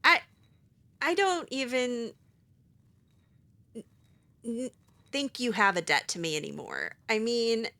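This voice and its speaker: A woman's voice: medium pitch, bright, forceful tone. A woman voicing her own character while playing a video game, sarcastic and hesitant, with many fillers. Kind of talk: in-character roleplay voiced over gameplay.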